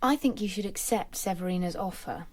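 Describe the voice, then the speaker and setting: A woman plays a proud, independent young Roman noblewoman in her twenties, speaking gently and frankly with quiet resolve. Her voice is warm and mellow, at a middling pitch, in a radio drama. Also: brisk, close to an everyday voice. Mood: tender. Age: 20s.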